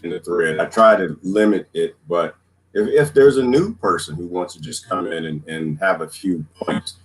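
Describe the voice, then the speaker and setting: A man's voice: deep voice, moderate pace, rough recording. An American man hosting a casual group chat on a livestream. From United States. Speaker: livestream panel host. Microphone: online group call. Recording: steady background noise on livestream call.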